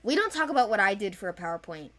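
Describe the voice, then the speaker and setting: A giggly, animated woman rambling casually as a co-creator talking about original characters. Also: mid-range voice, fast talker. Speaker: creator of an animated series project.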